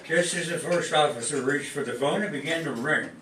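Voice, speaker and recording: A man's voice: fairly high pitch, fairly quick, very noisy. A man narrating a live comedy radio show as its announcer in scripted style. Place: live stage show in a room. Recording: distant mic, echoey hall, loud music behind.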